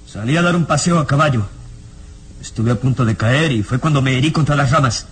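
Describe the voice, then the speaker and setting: An irritable man with a mid-pitched voice, played by an actor in a radio serial. He sounds defensive and evasive, answering with curt, indignant dismissals. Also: brisk, a bit bright, slight background noise, close to an everyday voice. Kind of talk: radionovela acting.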